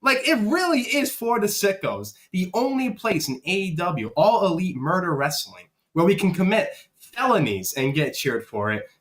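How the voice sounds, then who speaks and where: A man's voice: sits high, sing-song, normal speed, fairly bright tone. A man on a podcast panel, chatting casually about sport in an agreeable, laid-back way.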